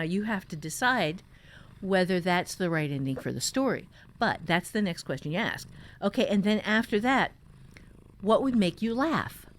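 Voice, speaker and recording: A woman hosting a casual talk on writing, giving advice earnestly and thinking aloud with emphatic repetition. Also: lowish voice. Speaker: podcast host and novelist.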